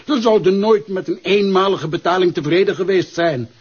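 Imperious, very husky Flemish gentleman villain. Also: sits high, moderate pace. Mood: cold, defiant. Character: imperious, cynical ailing gentleman villain, unrepentant. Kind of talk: dramatic screen or radio acting. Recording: dull lo-fi sound.

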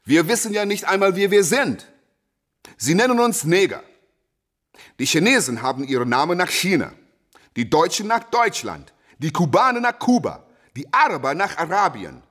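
An actor in a German radio play voicing a proud, fiery young boxer who preaches emphatically with rhetorical repetition. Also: male voice, high-pitched, sing-song, moderate pace, a bit bright, heavily acted. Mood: fervent preaching.

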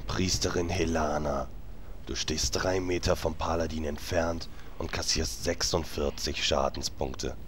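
A man's voice: a bass voice, fairly even intonation, unhurried, a little hiss or hum. A man acting an exasperated game master in a comedy audio drama, explaining things in a weary, impatient way. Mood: weary narrating. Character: exasperated male game master of a tabletop group.

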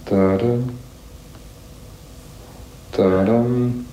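A man's voice: medium pitch, fairly even intonation, drawn-out words, warm tone, a little hiss or hum. A man being interviewed casually, humming a tune from memory between short remarks. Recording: roomy echo, faint music behind.

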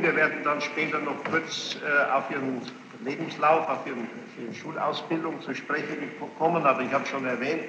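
A man speaking as a presiding judge, questioning a witness in a stern, insistent, formal and halting way. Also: on the high side. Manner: formal, long-winded, halting sentences. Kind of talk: courtroom questioning. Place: large reverberant courtroom. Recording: muffled, noisy, echoey archival courtroom tape. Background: clear tape noise and room ambience.

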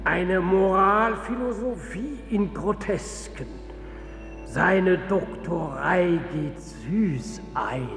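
A man's voice: high voice, drawn-out words, gravelly, full character voice. A man playing a grandiose, commanding Lord God in an avant-garde radio play, declaiming pompously. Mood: pompous, solemn. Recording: long echoing reverb, music behind.

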